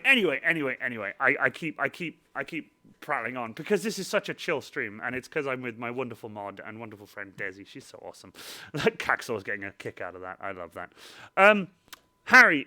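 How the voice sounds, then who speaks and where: A man's voice: medium pitch, bright, forceful tone. A young man hosting a casual live stream, chatty and self-mocking, tripping over names and laughing often. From Wales.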